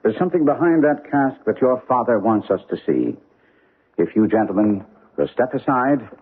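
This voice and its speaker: A keen commanding detective, old radio drama, husky, swoops up and down, muffled recording. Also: male voice, mid-range voice, animated. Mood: intent, musing. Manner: deliberate, theatrical, probing.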